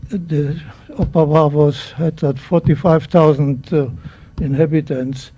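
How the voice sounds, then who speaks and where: A man in his nineties, a native German speaker, nervously giving personal testimony at a microphone in halting English. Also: on the high side, steady tone, mellow, slightly rough, rough recording. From Czechoslovakia. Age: 90s. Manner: halting, searching for words, many restarts. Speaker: guest speaker at a public event.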